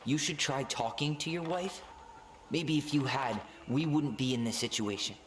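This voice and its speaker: A man's voice: mid-range voice, normal speed, close to an everyday voice. Actor as blunt, bitter young man, echoey. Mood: bitter, accusing. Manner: blunt, accusing. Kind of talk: dramatic video game cutscene acting.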